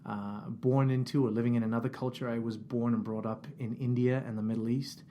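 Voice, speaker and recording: A middle-aged man from England who speaks with a Canadian accent, telling his story as an interview guest. His voice is mellow and dark at a middle pitch, and he thinks aloud with fillers and restarts. A faint hiss of room noise sits behind him. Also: little pitch movement, fairly quick. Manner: reflective, many fillers and restarts. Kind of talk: casual interview. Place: office.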